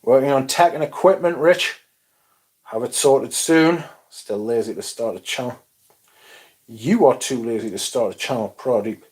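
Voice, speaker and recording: A man's voice: medium pitch, moderate pace, a little mellow. Laid-back man chatting on a livestream. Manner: laid-back, swears casually, mumbles asides, reads chat aloud. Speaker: livestream host. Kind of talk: casual livestream chat reading comments.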